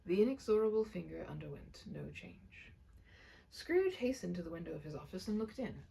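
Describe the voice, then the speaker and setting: A woman reading a story aloud with an expressive, theatrical and emphatic delivery. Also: fairly low pitch, normal speed, vocal fry, poor audio. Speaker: reader.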